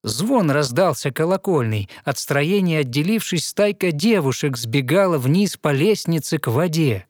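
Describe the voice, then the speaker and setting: A man narrating a fairy tale in verse for an audio play, with measured, rhythmic recitation. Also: on the high side, animated.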